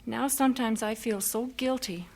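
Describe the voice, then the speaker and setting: A woman's voice: on the high side, normal speed. An actress playing an earnest, heartfelt young woman missionary about to sail overseas in a vintage radio drama. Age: young adult.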